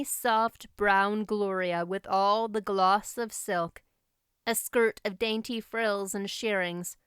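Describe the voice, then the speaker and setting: A woman narrates an audiobook story with a smooth, clear, mid-pitched voice. Her telling is measured and expressive, recorded close with a dry sound. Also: dry recording.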